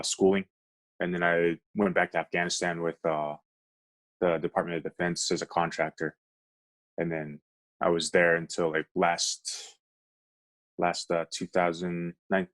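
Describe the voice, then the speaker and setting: Deep-voiced American man chatting casually. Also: little pitch movement, fairly bright tone. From United States. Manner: halting, peppered with "like". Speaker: podcast guest. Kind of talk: casual conversational storytelling.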